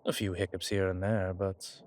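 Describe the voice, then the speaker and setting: A man playing an old, vain, genteel vampire in an intimate audio drama, speaking formally and theatrically with hesitant pauses. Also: low-pitched, flat delivery, darkish tone, dry recording, lightly acted. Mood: grateful, wry.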